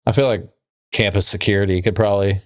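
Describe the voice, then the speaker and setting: A man's voice: fairly low pitch, steady tone, fast talker, no echo, studio quality. A man co-hosting a podcast in casual comedic banter, often questioning and teasing. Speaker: podcast co-host.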